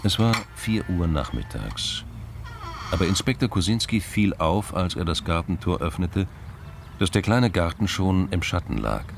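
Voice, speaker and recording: Extremely deep-voiced male narrator. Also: big pitch swings, moderate pace, creaky, a little hiss or hum. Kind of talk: scripted narration of a radio crime drama.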